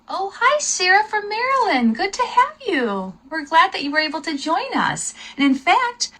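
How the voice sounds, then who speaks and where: Woman, higher-pitched, very bright voice, slightly husky, children's lesson host, instructive, talks directly to viewers. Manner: instructive, spells words out, addresses viewers directly. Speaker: homeschool teacher, lesson host. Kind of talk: live read-aloud lesson for children.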